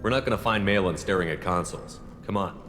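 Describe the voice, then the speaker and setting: A blunt, confrontational male commander from a video game , played by a man. His voice is deep and he talks quickly, pressing his point directly. Long reverb and music surround the voice. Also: natural voice. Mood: curt, probing. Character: blunt, challenging human male military commander. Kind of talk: video game cutscene acting.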